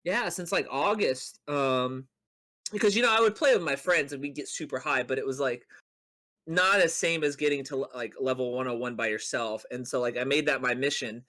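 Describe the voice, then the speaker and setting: Bright-voiced man chatting about gaming. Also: mid-range voice, normal speed. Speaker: podcast co-host. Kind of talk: casual chat on a gaming podcast.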